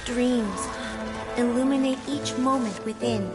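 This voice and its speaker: Actress as serene female game ruler, fairly high voice, soft, measured, wistful, music underneath. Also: long reverb tail, lightly acted. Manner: soft, measured, solemn delivery. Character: gentle dying woman ruler, serene, solemn, tenderly wistful. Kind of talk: dramatic video game voice acting.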